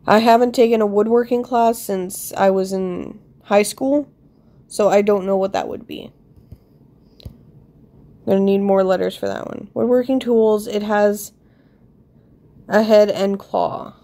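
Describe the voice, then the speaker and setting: A woman with a soft-edged, mellow voice and a blocked-nose sound. She speaks slowly at a middling pitch, thinking aloud in casual game commentary and gently putting herself down. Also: steady tone, gravelly. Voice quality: congested, stuffed-up nose. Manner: thinking aloud, self-deprecating. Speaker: player commenting on own gameplay. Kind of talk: casual voice-over on a word puzzle game.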